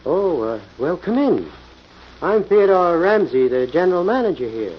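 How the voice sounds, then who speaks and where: Guarded company manager: slightly high voice, hesitant courtesy, muffled vintage radio drama. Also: male voice, big pitch swings, moderate pace. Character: polite, guarded male steel mill general manager. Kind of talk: 1940s radio acting. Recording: muffled old radio recording, faint hiss.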